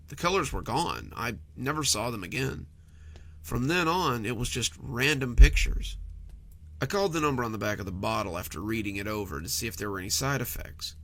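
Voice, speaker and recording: A man narrates a first-person horror tale as if recounting it himself. His mid-pitched voice moves in animated swings, with a bright, forward edge and a noticeable gravelly creak. The delivery is conversational but clearly scripted.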